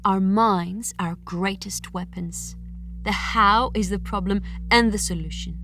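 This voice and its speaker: An actress playing an intense chorus figure in a tragedy, narrating in an emphatic, declamatory theatrical style. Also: female voice, medium pitch, fairly even intonation, a little slow, close to an everyday voice. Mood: intent. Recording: music under the voice.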